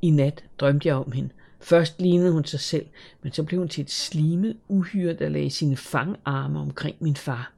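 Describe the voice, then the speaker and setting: Narrator doing a timid frightened girl, hushed. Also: medium pitch, vocal fry. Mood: fearful hushed. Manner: quiet, hesitant.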